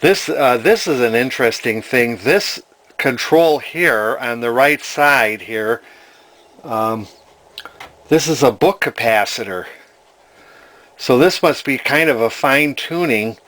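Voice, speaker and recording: A man's voice: medium pitch, takes it slowly, fairly bright tone. A man giving casual hands-on repair commentary as a hobbyist, hesitantly thinking aloud as he goes. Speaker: hobbyist radio restorer presenting a repair video.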